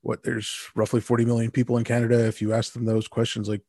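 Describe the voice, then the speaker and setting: A man hosting an interview, casual and animated, with plenty of fillers. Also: mid-range voice, quick pace, breathy edge, no echo, studio quality. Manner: animated, loose with fillers like "like" and "you know". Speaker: host. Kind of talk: conversational interview talk.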